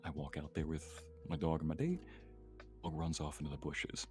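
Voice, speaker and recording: A man's voice: extremely low pitch, little pitch movement, normal speed, a little mellow, soft background music. A man on a live stream, chatting casually and telling everyday anecdotes. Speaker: streamer, guest.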